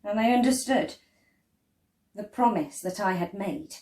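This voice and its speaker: A woman tells a picture-book story at a slow, deliberate pace. Her voice sits at a medium pitch, and she shapes phrases with dramatic emphasis and pauses. The room adds a noticeable echo. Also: a little mellow. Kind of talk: expressive dramatic story reading. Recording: roomy, echoey indoor sound.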